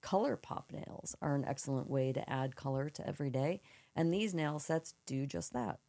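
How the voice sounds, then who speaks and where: A woman co-hosting a casual podcast with a froggy, slightly hoarse voice, reading a prepared segment and chatting. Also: a bass voice, moderate pace, warm tone. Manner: reads prepared segment aloud, then chats casually. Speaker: podcast co-host.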